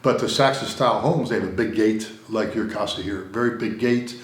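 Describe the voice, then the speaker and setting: A middle-aged American man gives a casual interview, rambling and repeating himself with plenty of fillers. His voice sits a little low. The studio around him adds a noticeable roomy echo. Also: fairly quick. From United States. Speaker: interview guest. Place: recording studio room.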